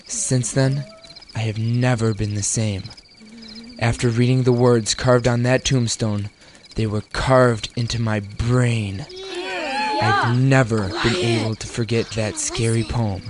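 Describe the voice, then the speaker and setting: An actor playing a spooky camp counselor, telling a horror story in an ominous, theatrical manner. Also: male voice, mid-range voice, steady tone, slow, noisy background, close to an everyday voice. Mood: ominous reminiscing.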